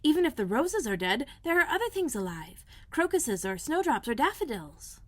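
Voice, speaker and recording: A woman's voice: high-pitched, big pitch swings, moderate pace, no echo, voice pushed up. A woman voicing a curious, earnest young girl full of wonder in a storybook. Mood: hushed wonder.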